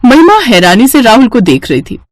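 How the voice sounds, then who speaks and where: A woman's voice: fairly high pitch, big pitch swings, a little fast, no room sound. A woman narrating a scripted family story in the manner of a storyteller.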